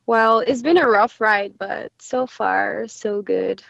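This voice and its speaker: A woman's voice: medium pitch, takes it slowly, vocal fry, a bit bright, no echo. A woman in her twenties with a Cameroonian accent, chatting casually as an interview guest on a slightly muffled recording. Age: 20s. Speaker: podcast guest, entrepreneur.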